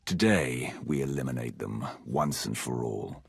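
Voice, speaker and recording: Dark Sith warrior for a video game: rock-bottom pitch, husky gravel, curt and ruthless. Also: male voice, lively intonation, measured pace, dry recording, exaggerated voice. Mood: cold, determined. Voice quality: gravelly, very deep. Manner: terse, commanding. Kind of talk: dramatic video game acting.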